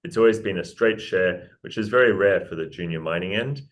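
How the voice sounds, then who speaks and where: Deep-voiced New Zealand man. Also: brisk. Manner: upbeat, restarts and repeats words. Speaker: mining company CEO. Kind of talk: casual interview pitch.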